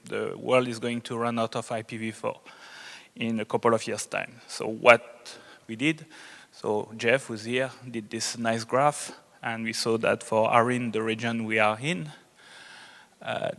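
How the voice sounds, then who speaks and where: A man with a strong French accent speaks at a medium pitch in a big reverberant hall. He gives an unscripted technical presentation to a conference, explaining as he goes. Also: fairly even intonation, unhurried, creaky, a bit bright. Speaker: conference presenter, network engineer.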